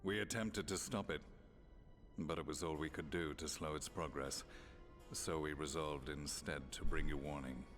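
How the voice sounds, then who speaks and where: Game role: grave ex-commander, middle-aged, deep-voiced, measured and archaic in speech, weighed by regret. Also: male voice, fairly even intonation, fairly bright tone, faint music behind. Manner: solemn, measured, archaic formal diction. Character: grave, formal, remorseful middle-aged male former imperial commander. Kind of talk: video game cutscene voice acting.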